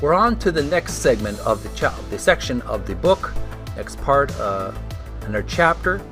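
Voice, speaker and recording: A man hosting a video gaming channel, casually presenting and reading aloud in a halting, hesitant way. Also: medium pitch, very animated, over music. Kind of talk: casual vlog intro and reading aloud from a book.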